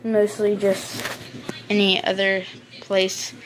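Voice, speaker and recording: A woman vlogger doing a casual show-and-tell in a halting, informal way, on an amateur recording. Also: mid-range voice, completely flat delivery, noisy background. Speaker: vlogger showing a weather radio collection.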